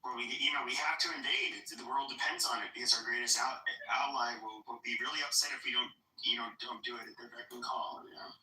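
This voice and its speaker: A man's voice: mid-range voice, fairly even intonation, quick pace, pushed and bright. Crude, sarcastic man in a roomy space. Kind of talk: casual group chat. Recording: roomy, distant, faint noise.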